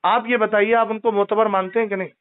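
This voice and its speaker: A man's voice: sits high, little pitch movement, fast, smooth. A man debating religion over a phone call, insistent, questioning and often cutting in. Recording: tinny, band-limited phone call recording.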